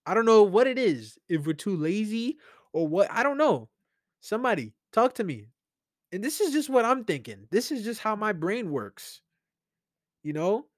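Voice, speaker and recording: A man's voice: high voice, no room sound, studio quality. Rambling solo podcast host, man. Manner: rambling, conversational, thinks aloud. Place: alone in his room. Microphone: studio mic with headphones.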